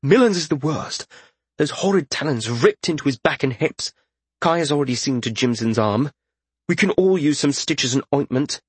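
A man's voice: fairly high pitch, lively intonation, moderate pace, husky, no echo, voice pushed up. A man voicing a haughty young lord in a fantasy audiobook, with a formal, scolding and fretful manner. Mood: accusing. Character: indignant, haughty young lord, male, quick to accuse. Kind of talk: audiobook fantasy character acting.

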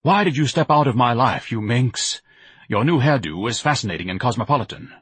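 A man's voice: mid-range voice, lively intonation, brisk, fairly bright tone, breathy edge, no echo. A man voicing a pompous, self-pitying, overweight grown man who talks in grand, melodramatic speeches. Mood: frantic, theatrical.

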